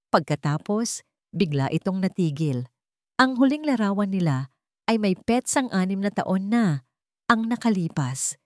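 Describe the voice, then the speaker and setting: A woman's voice: medium pitch, darkish tone, no echo, studio quality. A woman with a Filipino accent narrating a scripted dramatic story.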